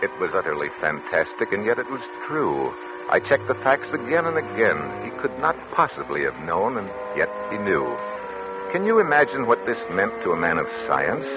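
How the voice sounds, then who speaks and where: An actor playing a controlling, coolly formal scientist husband who narrates his tale in dramatic, measured 1940s radio style. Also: on the low side, sing-song. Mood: intense, fascinated. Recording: noisy, dull vintage 1940s radio recording.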